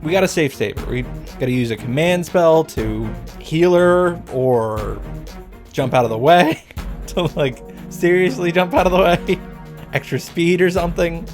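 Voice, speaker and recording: Man, casual reaction commentary, blunt, exasperated, swearing, clear music in the background. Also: fairly high pitch, a little slow, darkish tone. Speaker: host of a visual novel reaction video. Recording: music behind the voice.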